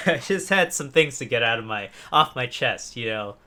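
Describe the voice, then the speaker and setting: Man, podcast co-host, bright forceful voice, rambling and jokey, casual banter, close mic. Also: medium pitch, lively intonation, normal speed. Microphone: close USB desk microphone on an arm.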